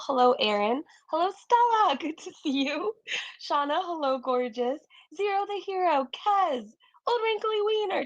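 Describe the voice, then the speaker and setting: A woman's voice: high voice, no echo, lo-fi sound. Chatty woman streamer losing her voice. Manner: chatty, swears freely, laughs often, calls out chat names. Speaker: livestream gossip commentator. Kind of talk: casual livestream chat greeting viewers.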